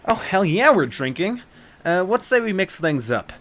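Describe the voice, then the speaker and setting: An actor playing a slick, talkative, cocky young yakuza in a video game fan dub, over guitar music. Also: male voice, high voice, sing-song, moderate pace, fairly bright tone. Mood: chummy, boastful. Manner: cocky, chatty, casual.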